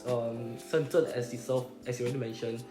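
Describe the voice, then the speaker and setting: A man's voice: medium pitch, steady tone, brisk, mellow, soft background music. Young Malaysian man, chatty and echoey. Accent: Malaysian English. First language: Chinese. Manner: explanatory, peppers sentences with "lah" and "right?". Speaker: podcast co-host. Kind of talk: casual chat.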